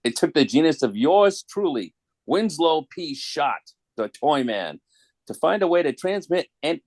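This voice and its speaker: Guy doing a weaselly toy villain, pompous and wordy, pitch goes all over, comic book story. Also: a little mellow, no echo, close to an everyday voice. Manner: pompous, florid. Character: smug wordy weaselly villainous male toymaker.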